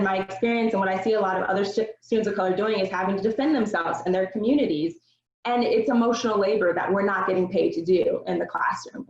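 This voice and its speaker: Young woman presenter, gravelly voice, roomy and lo-fi, earnest and measured. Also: medium pitch. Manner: earnest, measured, frequent "um" fillers. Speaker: research presenter. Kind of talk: seminar presentation. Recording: roomy, dull lo-fi sound.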